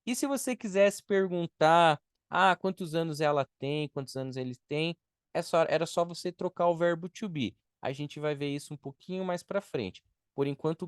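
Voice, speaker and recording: A man's voice: on the high side, clear, resonant tone, no echo, studio quality. A Brazilian man, a native Portuguese speaker, teaching a beginner language lesson step by step, repeating items and checking that students follow. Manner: didactic, step-by-step with repetition and check-in tags. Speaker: English teacher.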